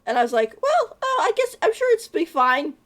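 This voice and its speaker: A woman's voice: very high voice, very animated, brisk, a bit bright. A woman streaming a game, chatting casually and rambling, laughing often as she talks. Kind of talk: casual live-stream chat.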